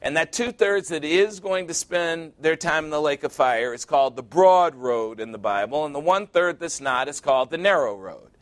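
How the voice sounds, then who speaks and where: A man in his sixties preaching a sermon, emphatic and repetitive, building to loud peaks. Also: fairly high pitch, expressive, moderate pace, few pauses. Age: 60s.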